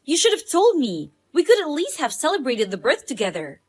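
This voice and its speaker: A woman's voice: high voice, wildly swooping pitch, fairly quick. A woman playing a blunt, pushy female friend in a scripted story drama. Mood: indignant, hurt. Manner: blunt, accusing. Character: Allison, pushy, indignant, spoiled but loyal woman friend.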